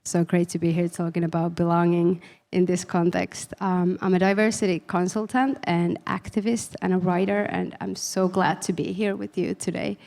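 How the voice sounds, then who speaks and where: A woman introduces herself calmly as a panelist. Her voice is lowish, with a lot of vocal fry. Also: normal speed.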